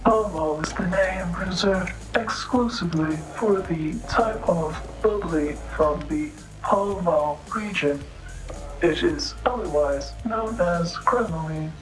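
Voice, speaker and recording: A female android bartender in a noir audio drama, low-voiced and unhurried. She is polite but sly, speaking in precise, halting, literal phrases over music. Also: poor audio. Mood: informative.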